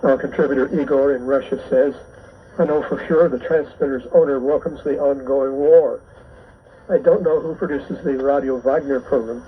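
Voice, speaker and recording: A male host reads a scripted radio bulletin in a measured way. It comes through a shortwave receiver, muffled and narrow in band, with light noise behind the voice. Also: mid-range voice. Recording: noisy, band-limited shortwave radio reception.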